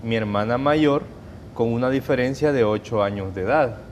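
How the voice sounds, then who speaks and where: Salvadoran man giving measured testimony. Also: medium pitch, slight background noise. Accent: Salvadoran Spanish. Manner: measured, hesitant, with restarts and fillers. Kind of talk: personal testimony in an interview.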